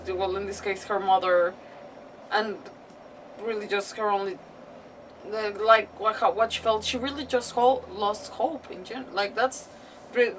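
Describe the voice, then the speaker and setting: A woman streaming a game with casual, emotional reactions, halting as she searches for words. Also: mid-range voice, far from the mic, slight background noise, low-quality recording. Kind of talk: casual live gameplay reaction commentary.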